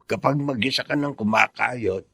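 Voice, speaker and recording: Folksy male neighbour, husky and raspy, sharp forceful tone, lively swings, radio drama. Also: medium pitch, moderate pace, no room sound, clean studio sound, full character voice. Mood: sympathetic, advising. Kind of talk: Filipino radio drama acting.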